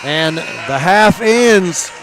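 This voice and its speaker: An energetic man with a high pitch calls a live high school basketball game. He leans on "uh" fillers between phrases, with faint gym noise behind him. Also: very slow. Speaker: play-by-play announcer.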